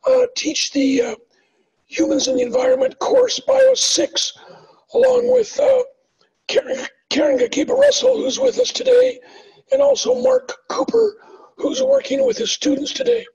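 Brief: older male professor, strongly raspy voice, high strained tone, distant muffled call audio. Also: moderate pace, darkish tone. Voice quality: raspy voice from past vocal cancer. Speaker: biology professor. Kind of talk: panel self-introduction. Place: home room, video call. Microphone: webcam on video call.

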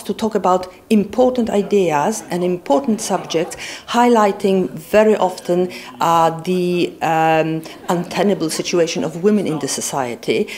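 A woman giving interview commentary in measured, hesitant phrases. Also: fairly low pitch, darkish tone.

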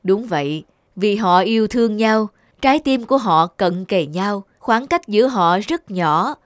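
A woman voicing a large, patient god of love in a cartoon, a wise mentor who instructs in measured words. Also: medium pitch, expressive, no echo, studio quality, close to an everyday voice. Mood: calm, wise. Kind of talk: cartoon dubbing.

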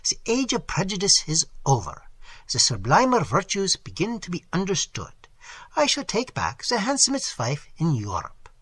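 A reader voicing a vain, self-important French artist man with a French accent, lecturing in a husky voice. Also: mid-range voice, wildly swooping pitch, moderate pace, a bit bright, no room sound, voice pushed up, exaggerated voice. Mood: boastful.

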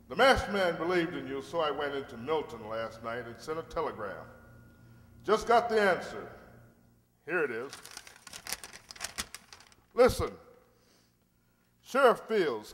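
A man acting a folksy, friendly Old West sheriff with drawn-out delivery, in a staged old-time radio play. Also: fairly high pitch, sing-song, fairly bright tone, a little hiss or hum. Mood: grateful, folksy. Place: stage in a community hall. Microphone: stand microphone. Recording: very echoey hall stage.

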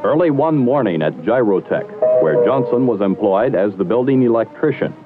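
A man reading scripted narration for a 1960s training film in a formal, measured, slightly wry manner. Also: mid-range voice, expressive, loud music behind the voice. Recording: muffled old 1960s film soundtrack.

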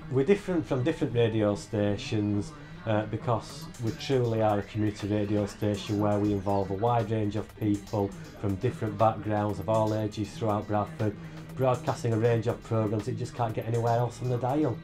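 Low, even-toned man over music. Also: normal speed. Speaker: community radio staff member. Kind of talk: interview testimony. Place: small room on sofa, indoors.

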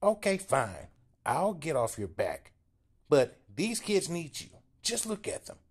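A man voicing a short, timid old man who is angry and scolding, pushing his voice up high and strained. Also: very animated, slow, husky, exaggerated voice.

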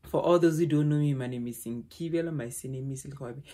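A young South African woman with a loud voice, chatting casually to a phone camera at home as a vlogger, rambling with frequent restarts. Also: very deep voice, a little fast.

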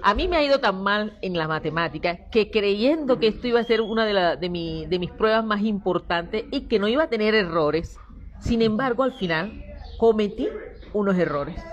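A middle-aged Colombian woman with a Colombian accent, explaining her art project in conversation, animated but hesitant, often repeating words. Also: medium pitch, moderate pace, highs cut off. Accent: Colombian Spanish. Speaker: artist, interview guest. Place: indoor seating area with a painted wall.

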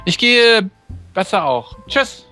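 A man's voice: very high-pitched, takes it slowly, gravelly, pushed and bright, faint music behind, voice pushed up, full character voice. A man playing a friendly, polite and slightly hesitant schoolboy love interest in a visual novel. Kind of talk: visual novel dub reading.

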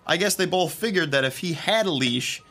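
A man's voice: fairly high pitch, animated, a little fast, bright, forceful tone, soft background music, heavily acted. A man acting a friendly, chatty theatre techie telling anecdotes in an audio drama. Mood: amused storytelling. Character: Rick, chatty friendly young male theatre techie storyteller.

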